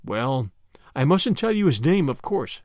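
A man's voice: a little high, very animated, a little slow, a little mellow, no echo. Guy doing a timid little country priest, vague n apologetic, unaffected, storybook, dry close sound. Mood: mild, simple. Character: small, mild, vague country priest, male, shrewd and apologetic.

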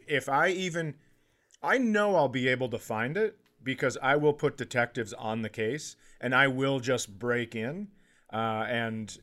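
A man's voice: medium pitch, fairly bright tone. A middle-aged man co-hosting a podcast, chatting casually and telling stories with comic exaggeration. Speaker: podcast co-host. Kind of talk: casual comedic chat.

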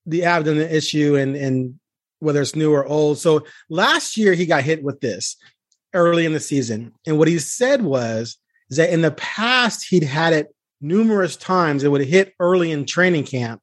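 A man with a fairly high voice hosts a sports podcast in a casual, conversational way. He talks like a beat reporter chatting with a guest. The sound is dry, with little room to it. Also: expressive, moderate pace, no echo.

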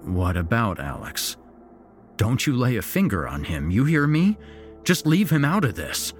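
A man voicing a frightened, angry young man who shouts defiantly. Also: mid-range voice, huge pitch swings, unhurried, soft background music, voice pushed up. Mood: fearful.

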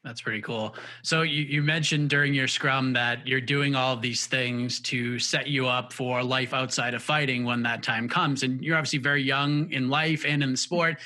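Bright-voiced male interviewer, relaxed. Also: mid-range voice, moderate pace, few pauses. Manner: relaxed, rambling questions with fillers. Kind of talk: casual interview questions.

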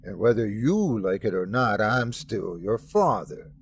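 A man voicing a wrathful, remorseless almighty male god who speaks in slow, emphatic, commanding declarations. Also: fairly low pitch, sing-song, husky, exaggerated voice. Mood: cold.

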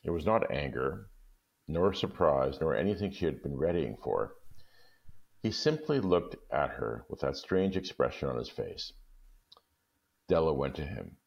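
Deep, gravelly male storyteller. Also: sing-song, measured pace, muffled recording. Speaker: story reader. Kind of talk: expressive literary storytelling and talk.

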